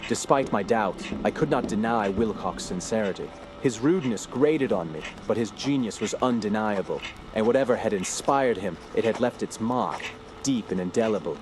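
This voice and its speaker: An actor playing an earnest, troubled scholar in a radio drama, speaking in a formal, measured, literary way. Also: male voice, lowish voice, expressive, background noise, natural voice. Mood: grave, troubled. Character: earnest, troubled male scholar investigating a sinister cult. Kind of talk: radio drama narration and dialogue. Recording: music clearly behind the voice. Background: music.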